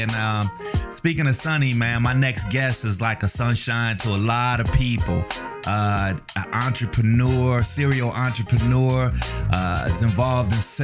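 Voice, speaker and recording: Man hosting a show over music. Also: medium pitch. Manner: friendly, encouraging with frequent backchannels. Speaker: podcast host. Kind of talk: casual interview hosting.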